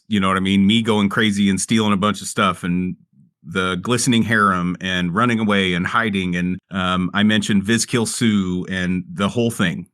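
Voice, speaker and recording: A man's voice: sits low, fairly bright tone, no room sound, studio quality. A man playing a tabletop roleplaying game in a casual, earnest, improvised way with salty language.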